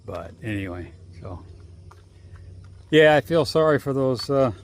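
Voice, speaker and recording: Man, gravelly voice, slow drawl, walking outside, phone mic, faint outdoor hiss. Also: fairly low pitch. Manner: rambling, profane, drawn-out pauses. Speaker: vlogger. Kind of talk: casual walking monologue. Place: outdoors on a walk. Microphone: handheld phone.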